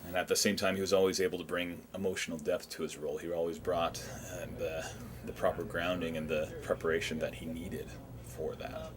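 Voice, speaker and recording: A man speaking as a film director, giving measured, earnest behind-the-scenes commentary. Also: low voice, flat delivery, crowd noise.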